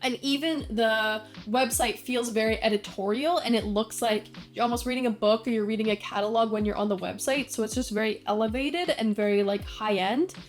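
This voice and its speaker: Chatty young woman with a higher voice. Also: a little fast, hardly any pauses, faint music behind. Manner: chatty, many fillers, self-deprecating asides. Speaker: design vlogger. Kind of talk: casual commentary on brand design.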